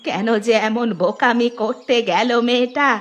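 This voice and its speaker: A woman's voice: on the high side, moderate pace, lo-fi sound, close to an everyday voice. A woman voicing a kindly, moralizing old village grandmother in a cartoon. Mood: wistful, caring. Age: older. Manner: moralizing, affectionate. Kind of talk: cartoon dialogue acting. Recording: music behind the voice.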